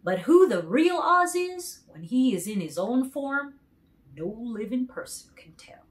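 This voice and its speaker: A woman voicing an emphatic, awed countryman who knows of a great wizard, in a deliberate storybook read-aloud. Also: on the high side, big pitch swings, voice pushed up. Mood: thoughtful, dramatic.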